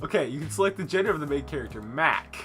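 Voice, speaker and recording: A man's voice: fairly high pitch, lively intonation, quick pace. A young man hosting a let's play, joking casually and reading game text aloud. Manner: joking, bantering. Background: background music.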